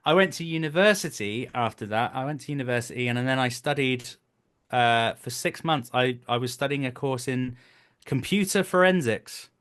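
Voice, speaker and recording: A man's voice: mid-range voice, animated, normal speed, fairly bright tone, clear, resonant tone. British man, thirties, chatty, explanatory, casual interview guest, storyteller. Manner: chatty, explanatory, breaks into brief acted quotes. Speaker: guest, voice actor and stage performer.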